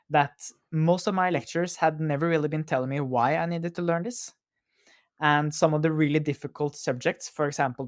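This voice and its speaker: A man's voice: fairly high pitch, dry recording, clean studio sound. A young man with a Norwegian accent, speaking as an interview guest in a hesitant way, with many fillers and restarts. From Norway. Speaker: guest, co-founder. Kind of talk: casual interview talk.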